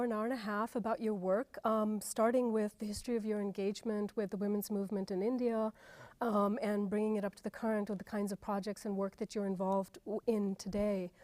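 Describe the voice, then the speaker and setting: A woman's voice: mid-range voice, darkish tone, no echo. A woman interviewing a guest. She asks long, hesitant questions full of "um". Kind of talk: interview questions and a read introduction.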